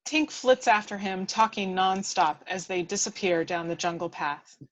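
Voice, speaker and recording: A woman's voice: mid-range voice, normal speed, poor audio. A woman reading scripted action descriptions aloud at a table read, now and then stumbling and correcting herself.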